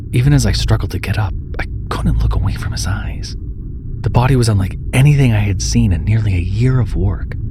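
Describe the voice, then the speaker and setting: A man's voice: fairly low pitch, noisy background. A man reading a scripted first-person horror story in a theatrical, dramatic way. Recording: music bed under the voice. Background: background music.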